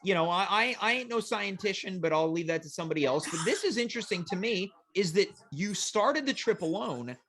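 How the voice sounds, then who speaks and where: A man's voice: high voice, lively intonation, a little fast, few pauses, fairly bright tone. A man hosting a live talk show, bantering casually with a guest in a teasing, mock-serious way.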